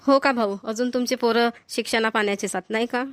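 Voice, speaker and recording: A woman's voice: fairly high pitch, steady tone, fairly quick, close to an everyday voice. A woman playing a kindly, talkative older mother-in-law in a village cartoon, with a rural Maharashtrian Marathi accent and homely manner. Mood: chatty. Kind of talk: Marathi cartoon family comedy voice-over.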